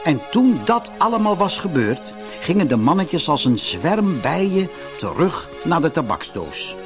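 A man reading a scripted fairy tale for children as an expressive narrator. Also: on the high side, a little slow. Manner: expressive storytelling. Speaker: storybook narrator. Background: music.

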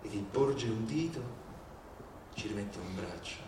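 A man performing a theatrical civic monologue, deliberate and rhetorical, with indignation and dramatic pauses. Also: on the low side, animated. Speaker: stage performer. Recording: very echoey, distant, clearly noisy.

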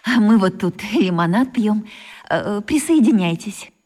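A woman's voice: mid-range voice, animated, a little slow, a little mellow. An actress playing a chatty, coquettish mother with affected, fussy politeness in a radio play. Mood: sociable, gushing.

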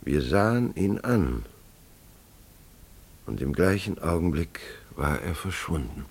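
An actor playing a grave, bewildered family father who tells his story haltingly and deliberately in a German radio play. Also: low-pitched, very animated, vocal fry, breathy edge, exaggerated voice. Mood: hushed, bewildered.

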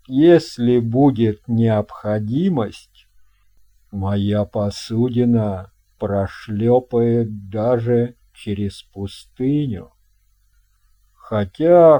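A man voicing a big, fat, jovial ship's captain in an audio fairy tale, speaking in a grand, courteous, drawn-out way. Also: fairly low pitch, mellow. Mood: breezy confidence.

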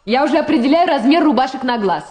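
An actress playing a prosecutor's young adult daughter in a Soviet radio drama, wry and teasing, gently reproachful. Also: female voice, high voice, expressive, fast. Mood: playful, teasing.

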